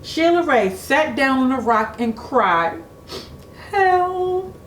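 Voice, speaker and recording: A woman reading a children's storybook aloud in an expressive, deliberate way, like a teacher at storytime. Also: sits high. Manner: expressive, deliberate, engages young listeners with questions. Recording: echoey room with faint noise.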